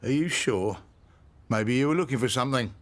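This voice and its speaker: A man's voice: mid-range voice, moderate pace, natural voice. An actor playing a grumpy, suspicious middle-aged husband and father in a radio soap, talking in a curt, grudging way.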